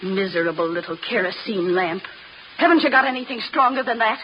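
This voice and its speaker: A woman playing a desperate, sharp-tongued young woman trapped underground in a 1930s radio horror play, impatient, insistent and scornful. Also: medium pitch, lively intonation, fairly quick, natural voice. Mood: demanding. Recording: muffled, hissy 1930s radio recording.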